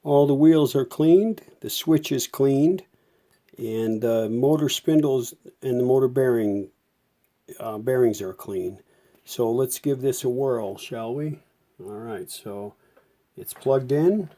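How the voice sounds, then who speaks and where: A man's voice: medium pitch, slow pace, warm tone. A middle-aged man giving a casual hands-on repair tutorial, talking in an informal, folksy way as he works. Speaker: host of a vintage radio repair channel.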